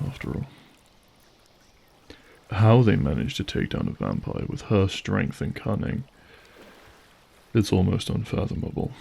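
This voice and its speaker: An actor playing a suave, menacing vampire leader in an audio drama, husky and gravelly, with formal, silky politeness. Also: male voice, low-pitched, a little mellow, heavily acted. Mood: grave, resolute. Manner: formal, silky, measured politeness with veiled threat.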